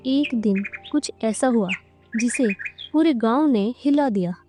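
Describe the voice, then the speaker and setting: A woman narrating a scripted moral story in a measured, dramatic storytelling manner. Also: a little high, over music.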